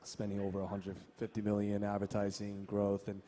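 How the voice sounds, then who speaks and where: A man who grew up in China and Japan, speaking on a finance panel in a relaxed, slightly hesitant way. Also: fairly low pitch, fairly quick. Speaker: panelist, family office representative. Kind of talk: panel discussion.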